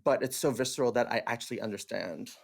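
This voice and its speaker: A man from Hong Kong whose first language is Cantonese, a theatre director in casual podcast conversation, animated and laughing often, with many fillers and restarts. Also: medium pitch, fast talker, vocal fry.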